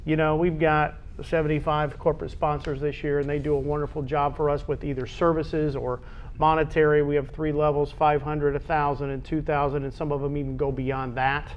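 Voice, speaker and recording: Through slightly muffled audio with a faint rumble, an American man talks sports in a fairly high voice. He co-hosts a local show in a conversational way, pausing and doubling back on words as he goes. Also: little pitch movement. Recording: dull lo-fi audio with low rumbling noise.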